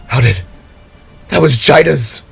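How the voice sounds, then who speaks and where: A man's voice: medium pitch, expressive, creaky, fairly bright tone, husky, soft background music, exaggerated voice. Man as a strained, battered warrior father. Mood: stunned. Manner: halting, strained, gasping. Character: battered heroic father, weary armored warrior. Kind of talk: audio story acting.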